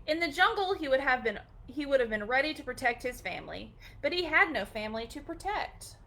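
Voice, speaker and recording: A woman's voice: high-pitched, big pitch swings, a little fast, bright, forceful tone, slight background noise. A woman hosting a storytime, reading a picture book aloud expressively for children, with short chatty asides.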